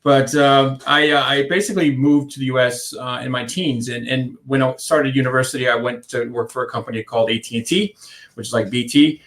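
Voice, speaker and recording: A man's voice: medium pitch, steady tone, a little fast, a bit bright. A man in his fifties from Iceland, a contact centre consultant answering interview questions in a rambling way, full of restarts and fillers.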